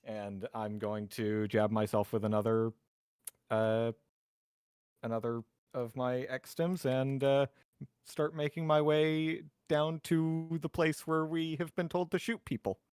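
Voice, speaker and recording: A man playing a tabletop roleplaying game, thinking aloud in a halting, casual way. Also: medium pitch, little pitch movement, a little mellow, clear, resonant tone, dry recording, studio quality. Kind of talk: casual in-character game chat.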